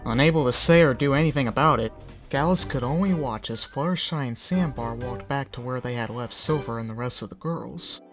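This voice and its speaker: A man reading a fan story aloud as its narrator, with an expressive delivery. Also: medium pitch, a little fast. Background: faint background music.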